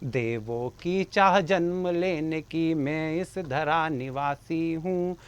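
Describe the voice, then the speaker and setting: A man's voice: fairly high pitch, slow, clean tone. A man reciting patriotic poetry, declaiming each couplet twice with rising force. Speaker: poet.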